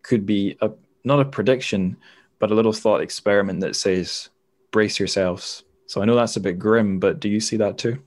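Guy in his 20s with a deepish voice, talking books on a podcast, kinda hesitant and thoughtful. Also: normal speed. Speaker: podcast host. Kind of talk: literary discussion.

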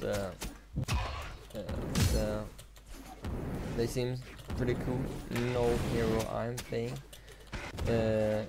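A teenage man with a Swedish accent, a native Swedish speaker, chatting casually while playing a game, rambling with many fillers and pauses. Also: lowish voice, vocal fry. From Sweden. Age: teens. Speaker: gaming YouTuber. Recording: loud noise behind the voice.

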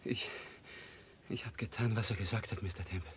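An actor playing a frightened, injured petty crook, speaking in broken phrases in a German radio crime drama. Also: male voice, fairly low pitch, fairly even intonation, a little fast, a bit bright, a little hiss or hum, close to an everyday voice. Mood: weak, pleading. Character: wounded small-time crook, frightened, gasping out his story.

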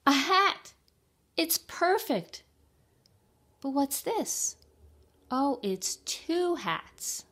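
A woman's voice: high voice, very animated, extremely slow, pauses often, a bit bright, voice pushed up, heavily acted. A woman voicing a curious, naive hen in a picture book, exclaiming eagerly as if to small children. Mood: excited. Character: curious, naive, delighted female hen hunting for a hat.

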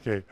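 Spanish man in his fifties coaching basketball. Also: noisy background. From Spain. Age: 50s. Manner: instructive, coaching players with short commands. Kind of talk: coaching clinic on court. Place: large indoor sports hall. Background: basketballs bouncing.

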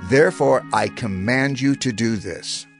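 An actor playing a solemn prophet giving law to his people in dramatized scripture, declamatory, deliberate and authoritative. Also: medium pitch, natural voice. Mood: solemn, commanding. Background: background music.

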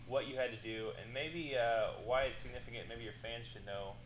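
A man's voice: medium pitch, fairly even intonation, fairly quick, a little hiss or hum. Joking young male host, roomy sound. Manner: chatty, jokey questions with fillers. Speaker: interviewer host. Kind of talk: casual interview questions. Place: indoor studio set with couch.

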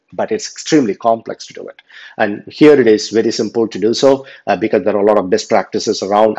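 A man with a lowish voice talks through slides in a loose, unscripted way, full of fillers and restarts. The recording is muffled and lo-fi. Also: darkish tone. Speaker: instructor. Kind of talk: slide presentation lecture. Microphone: voice-over on slides. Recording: dull lo-fi voice recording.

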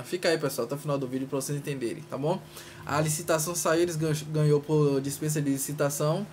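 Guy in his 30s vlogging exam news on his phone, slightly high voice, bit of noise behind him. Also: mellow. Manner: repeated tag questions, emphatic repetition. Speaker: vlogger on public service exams. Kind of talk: informal news update to camera. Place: small tiled utility room indoors. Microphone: phone at arm's length. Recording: noisy small-room phone recording.

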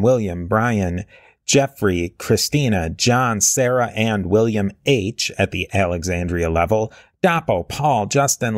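A man's voice: mid-range voice, dry recording, clean studio sound. A man hosting a history podcast, reading thanks to supporters and then chatting earnestly with a guest. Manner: earnest, with fillers and restarts. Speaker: podcast host. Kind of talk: casual podcast conversation.

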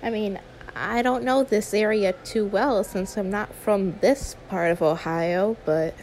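A woman's voice: mid-range voice, measured pace, a little mellow, slight background noise, lightly acted, rough recording. A woman voicing an anxious, sweet young female YouTuber in an audio roleplay, stammering, awkward and apologetic. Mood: sympathetic. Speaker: ASMR roleplay performer. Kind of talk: one-sided ASMR audio roleplay.

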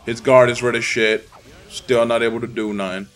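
A man's voice: mid-range voice, level tone, moderate pace, faint music behind. A young man from the Dominican Republic giving casual, profane commentary while playing a fighting game, ranting and easily irritated. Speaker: gameplay commentator.

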